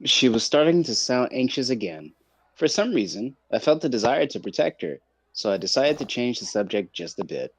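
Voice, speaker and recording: A man's voice: medium pitch, big pitch swings, moderate pace, breathy edge, dry recording. A man reading visual novel narration aloud as a streamer.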